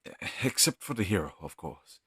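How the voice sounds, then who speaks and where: A man playing an earnest, kind fantasy healer in an audio roleplay, speaking in a gentle, hesitant, slightly husky voice. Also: low voice, big pitch swings, brisk, dry recording, clean studio sound, full character voice. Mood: worried, earnest. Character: earnest, kind young male fantasy healer, self-doubting.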